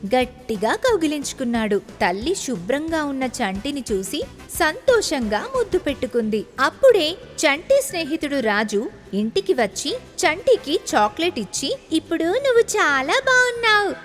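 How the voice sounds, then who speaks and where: A woman's voice: high-pitched, big pitch swings, normal speed, faint music behind. A woman narrating scripted moral stories for children as a storyteller.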